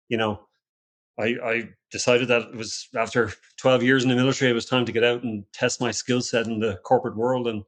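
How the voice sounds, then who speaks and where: A man's voice: fairly low pitch, fairly bright tone. A middle-aged Irish man from Ireland, a company founder talking as a podcast guest in a casual, conversational way with many restarts and fillers. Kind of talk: casual interview talk.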